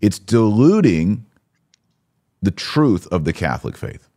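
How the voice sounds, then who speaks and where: A man's voice: on the low side, sing-song, slow, warm tone, dry recording. A man hosting a live podcast discussion in an opinionated, emphatic, colloquial way. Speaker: podcast host. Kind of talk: live commentary and casual discussion.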